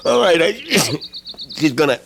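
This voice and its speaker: Wheezy, high-voiced sick henchman. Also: big pitch swings, creaky, full character voice. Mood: strained, breathless. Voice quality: wheezy, winded. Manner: halting, breathless phrasing. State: sick, coughing and short of breath. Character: sick, reluctant male lodger turned menacing henchman. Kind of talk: old-time radio mystery acting. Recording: noisy archival radio drama recording.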